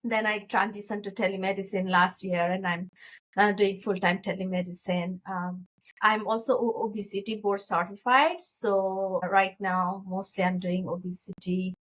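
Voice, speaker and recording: This is a middle-aged woman with a Nepali accent. Her voice sits at an average pitch for a woman. She talks in a casual, conversational way, as a physician answering an interviewer's questions. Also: steady tone, moderate pace, a bit bright, rough recording. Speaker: guest, physician.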